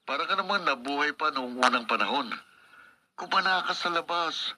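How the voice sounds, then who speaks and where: A man's voice: a little high, animated, normal speed, pushed and bright. An actor playing a cynical, persuasive crooked policeman in a Filipino radio drama, with noisy broadcast sound. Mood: goading. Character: corrupt, worldly male police buddy urging bribe-taking.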